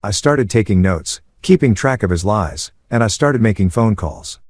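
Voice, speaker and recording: Low-voiced male story narrator, dry close sound. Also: expressive, moderate pace, a little mellow, dry recording. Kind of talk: first-person story narration.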